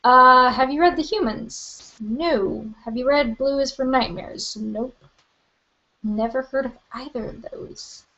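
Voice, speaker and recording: A young woman hosting a live book Q&A from her webcam, chatty and rambling with lots of "like" and "um", her voice a little hoarse. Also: high voice, slow pace, bright, forceful tone, muffled recording. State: slightly hoarse, losing her voice. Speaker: book vlogger. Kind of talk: live-stream Q&A.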